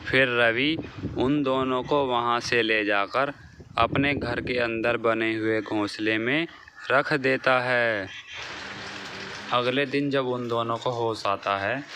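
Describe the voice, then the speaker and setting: A man's voice: mid-range voice, background noise. A man narrating a scripted children's moral story as a storyteller.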